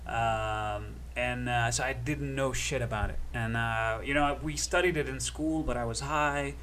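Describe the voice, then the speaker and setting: Relaxed male guest telling anecdotes. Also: mid-range voice, measured pace, slight background noise. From Egypt. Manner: relaxed, anecdotal, filler-heavy. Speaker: guest comedian. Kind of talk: casual storytelling on a podcast.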